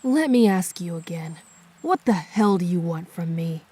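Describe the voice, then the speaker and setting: A woman playing a bitter, guarded werewolf on the run in a radio drama, blunt and confrontational in a husky voice. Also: on the low side, expressive, moderate pace, warm tone.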